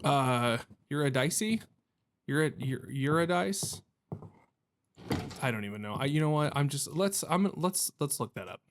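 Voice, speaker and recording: A man's voice: on the high side, lively intonation, unhurried, slight background noise. A man giving casual let's play commentary on a video game, thinking aloud in a hesitant, self-questioning, rambling way.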